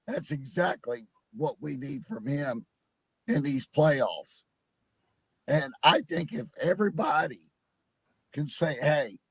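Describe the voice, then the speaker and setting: Man with a cold, hoarse and gravelly, slow talker, hesitant, dry close sound. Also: medium pitch, no room sound. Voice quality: gravelly, husky. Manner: rambling, hesitant, with many uh fillers. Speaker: caller on a live panel stream. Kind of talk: casual call-in chat.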